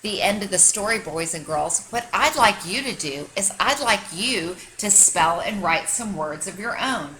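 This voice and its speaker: Bright-voiced woman reading to young children. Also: medium pitch, animated, unhurried, hardly any pauses, a little hiss or hum, rough recording. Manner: emphatic, teacherly, articulated for young children. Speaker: kindergarten teacher. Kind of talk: expressive children's story read-aloud.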